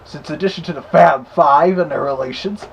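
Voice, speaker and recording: A man as a gravelly, husky cartoon wolf. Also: a little high, fairly quick, dull audio. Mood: chatty, easygoing. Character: Big Bad Wolf, chatty cartoon villain reviewing records. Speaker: vlog host. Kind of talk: casual review vlog.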